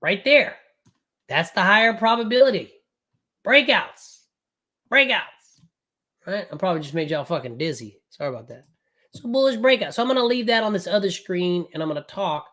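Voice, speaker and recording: Brash, loud-voiced man coaching traders. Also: sits high, expressive. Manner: loud, brash and profane, says it's a loud voice; casual, fast and rambling, with frequent "right?". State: recovering from a cold, voice not fully back. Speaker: day trading coach. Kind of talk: live online trading class.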